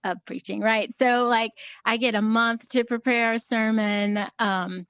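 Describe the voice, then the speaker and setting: A woman with a high voice talking casually as a podcast guest, soft-spoken and hesitant, with many fillers. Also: steady tone, a little slow, clear, resonant tone, no room sound, clean studio sound. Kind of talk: casual conversation.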